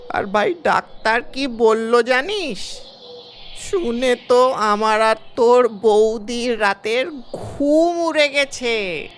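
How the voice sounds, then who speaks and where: A man plays a sly cartoon villager putting on a pitiful, sickly act. The delivery is slow and halting, with big theatrical swings in pitch and pleading throughout. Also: animated, a bit bright, exaggerated voice. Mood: plaintive. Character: wily village man feigning grave illness, pleading. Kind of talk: cartoon story acting.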